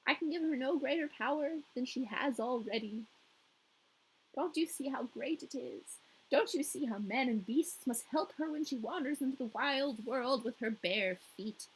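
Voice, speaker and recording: A woman's voice: high voice, slightly rough, full character voice, rough recording. Woman putting on a wise, firm storybook old woman, raised voice.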